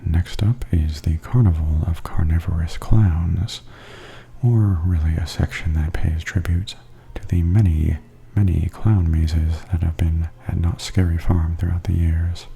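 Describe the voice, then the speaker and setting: Very deep, hushed, slow-talking man. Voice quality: soft, breathy, half-whispered. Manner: calm, unhurried, gently descriptive. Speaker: ASMR video host and tour narrator. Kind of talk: softly spoken ASMR tour commentary.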